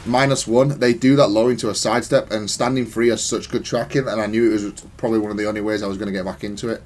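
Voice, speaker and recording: A man's voice: lowish voice, fairly even intonation, quick pace, darkish tone. A man giving casual, analytical gameplay commentary in a matter-of-fact, self-critical way. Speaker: gaming content creator.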